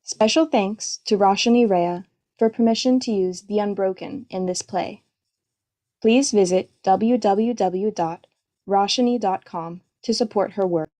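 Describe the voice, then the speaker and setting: A woman's voice: medium pitch, a little slow, mellow. A woman giving scripted narration, reading the stage directions of a play.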